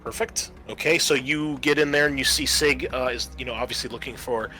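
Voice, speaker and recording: A man's voice: mid-range voice, steady tone, a little fast, pushed and bright, soft background music, low-quality recording. A man acting as game master in a tabletop roleplaying game, explaining rules and turns to players.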